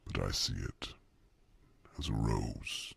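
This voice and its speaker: An ancient druid, with an extremely low voice full of rasp and breath. He speaks very slowly and deliberately, a wise and wry fantasy mentor addressing royalty with theatrical pauses. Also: steady tone, fairly bright tone, heavily acted. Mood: wry, gentle, hushed. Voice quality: very deep gravelly rasp, breathy. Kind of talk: ASMR fantasy roleplay acting.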